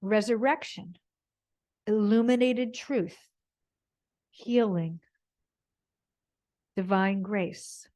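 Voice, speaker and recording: A woman yoga teacher guides a body scan at a very unhurried pace. Her pitch sits in a middle range for a woman. She speaks off the cuff, meandering and pausing to find her words as she gives spiritual instruction. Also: warm tone. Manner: meandering, halting, searching for words.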